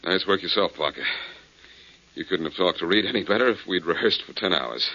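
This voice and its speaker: A man's voice: sits low, fairly quick, bright, forceful tone, husky, full character voice. A man playing a confident, wisecracking detective in a 1940s radio crime drama, with a breezy, wry manner. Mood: breezy, pleased. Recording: dull lo-fi 1940s radio recording.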